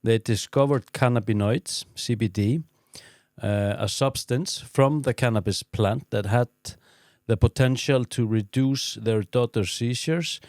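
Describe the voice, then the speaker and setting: Measured male podcast host. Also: mid-range voice, darkish tone, no echo, clean studio sound. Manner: measured, with frequent listening murmurs. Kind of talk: interview hosting.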